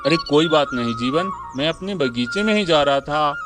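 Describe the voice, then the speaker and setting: High-voiced village headman, acted by a man. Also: lively intonation, moderate pace, music in the background, lightly acted. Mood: genial. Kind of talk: moral story cartoon dialogue.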